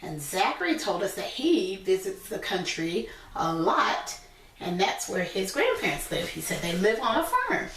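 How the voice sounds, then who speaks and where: A woman teacher reading a picture book aloud to young children, pausing to explain things simply in her own words. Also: mid-range voice, big pitch swings, a bit bright. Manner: instructive, repeats and explains points simply. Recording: echoey room, distant from mic.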